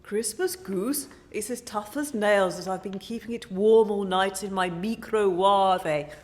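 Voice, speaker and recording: A woman playing a blunt, long-suffering household cook in a comic radio play, with a deadpan delivery. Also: medium pitch, a little slow, slight background noise, natural voice. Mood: grumbling.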